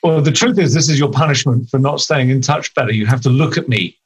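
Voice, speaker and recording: A man's voice: mid-range voice, quick pace, husky. A British man in his fifties, a business consultant guesting on a podcast over a video call, talking with wry, dry humor. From United Kingdom. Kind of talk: casual interview chat. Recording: dull lo-fi video call audio.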